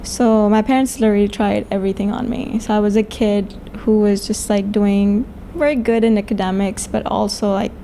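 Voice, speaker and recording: A young woman from Pakistan, a podcast guest, telling stories of her upbringing in a lively way with frequent fillers. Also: medium pitch, moderate pace, hardly any pauses, a little hiss or hum. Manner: animated storytelling with many fillers. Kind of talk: casual interview chat.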